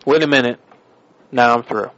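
A man's voice: mid-range voice, normal speed, fairly bright tone, no echo. Badgering prank caller, man, dull lo-fi sound. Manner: badgering, accusatory, bossy. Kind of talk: prank phone call.

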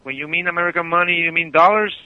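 A man's voice: a little high, quick pace, no echo. A Spanish-accented man, a native Spanish speaker, doing customer service talk on a muffled telephone line. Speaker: phone operator at a casino.

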